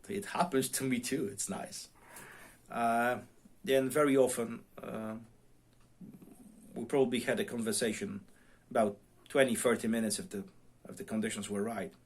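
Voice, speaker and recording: A middle-aged man, a self-taught non-native English speaker, talks casually to viewers as a radio hobbyist, often pausing and repeating himself while searching for words. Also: medium pitch, husky. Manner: halting, searching for words, repeats word starts. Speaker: amateur radio vlogger. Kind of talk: casual unscripted talk to viewers. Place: home radio room.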